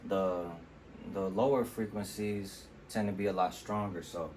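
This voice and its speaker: A man with a deep voice, casually walking through how he mixes his own vocals in a relaxed, explanatory way. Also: measured pace, slight background noise. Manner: relaxed, explanatory, with fillers like "you know". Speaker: music producer demonstrating vocal mixing. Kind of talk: casual tutorial walkthrough. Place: temporary home studio.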